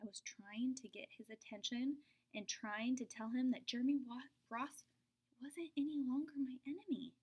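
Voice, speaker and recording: Woman storybook reader, slightly high voice, animated read-aloud with hushed asides. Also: normal speed, slightly rough, poor audio. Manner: expressive, drops to whispers for suspense, pitches up for a child's voice. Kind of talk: reading a picture book aloud.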